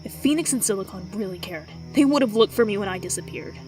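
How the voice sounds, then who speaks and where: A woman voicing a reluctant, sincere young character in an animated drama. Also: mid-range voice, quick pace, soft background music, lightly acted. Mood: resentful, reluctant.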